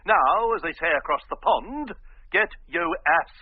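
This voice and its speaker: A higher-voiced man an exasperated, domineering theatrical agent in a radio comedy. He scolds with sardonic, theatrical flourish. The voice comes thin and tinny down a telephone line. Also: expressive, unhurried, breathy edge. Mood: sardonic, scolding. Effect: telephone filter. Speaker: actor in a radio drama. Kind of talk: comic radio drama acting. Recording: tinny, band-limited phone line.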